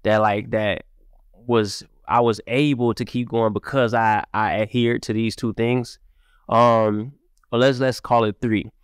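A man from Cleveland, raised partly in Mississippi, talking casually as a music-marketer guest on a podcast, with lots of fillers, into a studio boom mic. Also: a little slow, clean tone, no room sound. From Cleveland, Ohio, raised partly in Mississippi. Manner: animated, repetitive fillers. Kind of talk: casual interview talk. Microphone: studio mic on boom arm.

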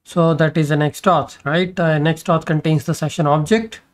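A man's voice: a little high, steady tone, moderate pace. A man explaining code step by step in a screen-recorded programming tutorial, with many fillers and restarts as he goes.

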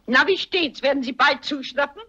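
An actress playing a sharp-witted old English spinster sleuth, brisk, insistent and precise, in a vintage film dub. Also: female voice, high-pitched. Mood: stern, affronted. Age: older. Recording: dull vintage film soundtrack.

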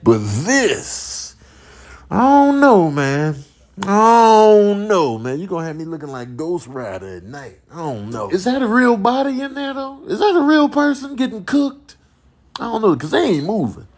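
A man with a husky voice giving slangy, exclamatory comedic commentary over clips in mock outrage. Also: sits high, sing-song, measured pace.

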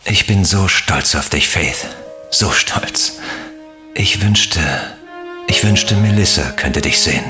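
An actor playing a tender, proud middle-aged guardian in a German audio drama. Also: male voice, low-pitched, expressive, slow, music in the background.

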